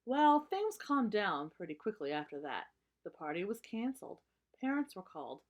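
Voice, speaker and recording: A woman reading a story aloud for children, narrating and pausing to explain things simply. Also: mid-range voice, very animated.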